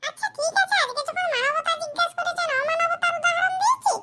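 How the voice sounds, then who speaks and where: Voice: very high voice, fast, bright, forceful tone, full character voice. A performer voicing a cheeky, boastful schoolchild in a comedy cartoon, talking in a cocky, argumentative, colloquial way. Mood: earnest, cocky. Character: cheeky, boastful Bengali schoolchild, dim but sly.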